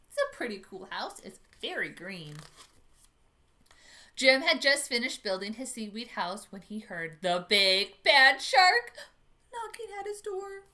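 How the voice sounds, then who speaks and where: A woman hosting an animated storytime for preschoolers, expressive and often putting questions to her young listeners. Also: sits high. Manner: expressive, addresses young children with questions and gasps. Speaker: children's librarian hosting storytime.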